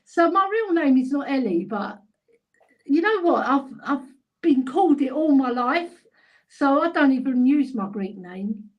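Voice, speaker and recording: A woman's voice: high-pitched, expressive, measured pace, highs cut off. A middle-aged woman with a London accent, chatting casually and telling anecdotes. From London, England. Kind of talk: casual chat.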